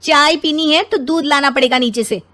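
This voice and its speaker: A woman voicing an irritated, bossy young mother and wife who scolds and retorts sharply in an animated family story. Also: high voice, normal speed, voice pushed up. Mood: irritated, scolding.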